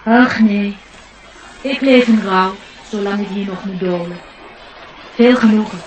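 A woman's voice: medium pitch, measured pace, slight background noise, natural voice. A woman playing a courteous, proud glow-worm in mourning, formal and wistful, in a radio play. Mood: mournful, proud. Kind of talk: Dutch radio play acting. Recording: distant-sounding radio play recording.

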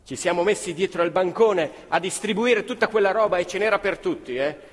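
A man telling a story on stage in the first person, emphatic and lively. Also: sits high, a little fast. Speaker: stage actor narrator. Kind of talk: theatrical storytelling. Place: theatre stage. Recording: live theatre with long reverb. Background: live violin and piano.

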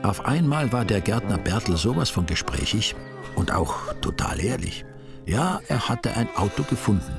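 A man's voice: on the low side, very animated, moderate pace, over music. A man narrating a story with dry, ironic humor. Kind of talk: wry storytelling reading.

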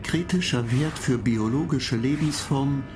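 An actor in a radio play voicing an impassive spaceship computer that makes clipped, monotone technical announcements. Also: medium pitch, measured pace, noisy background, lightly acted. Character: impassive spaceship computer reporting faults and countdowns.

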